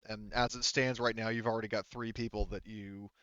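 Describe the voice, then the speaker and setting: A man with a smooth, lowish voice acts as game master, explaining the game in a loose, conversational way peppered with filler phrases. The sound is close and dry, though slightly dull. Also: little pitch movement, fairly quick, a bit bright, no echo. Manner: hedging, conversational, heavy use of "you know" and "like". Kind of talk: casual tabletop game narration, explaining.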